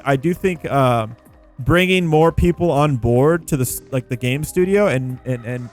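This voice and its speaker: A man giving casual gameplay commentary, thinking out loud in an informal way. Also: a little high. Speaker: gaming video creator. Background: background music.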